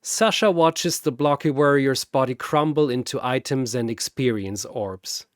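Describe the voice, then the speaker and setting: A man's voice: mid-range voice, a little slow, no room sound, studio quality. A man narrating a dramatic battle story in vivid, play-by-play fashion.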